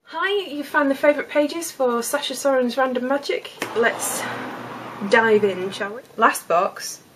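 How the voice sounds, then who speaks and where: Casting: woman book reviewer, higher-pitched voice, vlog delivery with slight background noise. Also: unhurried, a bit bright, poor audio. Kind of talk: book vlog with dramatic readings.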